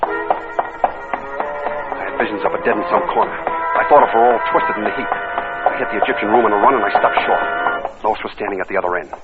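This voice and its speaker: A man's voice: fairly low pitch, brisk, pauses often, natural voice. An actor playing a tough, world-weary man narrating his own crime story in clipped, slangy 1940s radio noir style. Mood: tense, hurried. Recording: tinny old radio recording with organ music.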